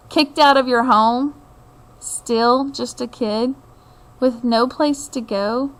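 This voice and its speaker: Middle-aged lady doing a kids book read-aloud, high voice, real slow and expressive, chats to listeners. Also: poor audio. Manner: expressive storytelling with deliberate phrasing and asides to listeners.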